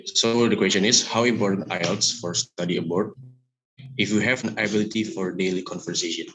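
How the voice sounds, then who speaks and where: A man's voice: low voice, a little fast, a crowd in the background, low-quality recording. A man joining a webinar on a video call, politely asking a question about a test. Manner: polite, formal. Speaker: audience member asking a question.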